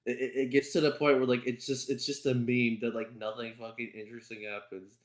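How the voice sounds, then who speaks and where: A man with a mid-pitched voice in casual podcast talk. He rambles and peppers his speech with swearing. The sound is noticeably roomy and echoey. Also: flat delivery, fairly quick, crowd noise. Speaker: podcast co-host. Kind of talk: casual chat.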